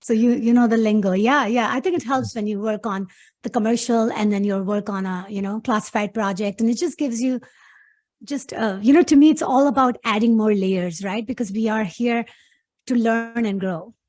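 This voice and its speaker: A woman's voice: fairly high pitch, steady tone, normal speed, a little mellow. A middle-aged woman from Pakistan, a guest talking earnestly in a casual interview and laughing easily.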